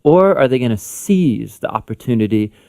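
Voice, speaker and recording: A man's voice: mid-range voice, lively intonation, normal speed, gravelly, mellow. A man co-hosting a Bible lesson, explaining things informally in conversational explainer narration. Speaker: Bible teacher, co-host.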